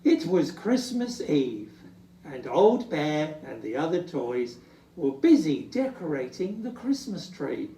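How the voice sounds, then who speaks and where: Expressive storybook reader, very animated, echoey room. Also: medium pitch, slow. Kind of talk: expressive children's storybook reading. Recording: echoey room, distant from mic.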